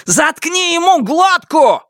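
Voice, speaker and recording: A man voicing a frantic, wounded villain in an audiobook, hoarse and breathless, with a taunting, raised voice. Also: very high voice, very animated, measured pace, no echo, clean studio sound, pitched above the performer's own voice, full character voice. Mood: enraged. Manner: shouting and taunting. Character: frantic wounded male villain, gloating and enraged.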